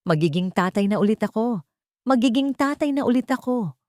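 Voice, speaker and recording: A woman plays a devoted young husband in a romantic audio story. He is earnest and remorseful, with tender, emotional delivery and lively swings of intonation. The recording is dry and close. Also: a little high, brisk, no echo, pitched above the performer's own voice, lightly acted. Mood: overjoyed, moved.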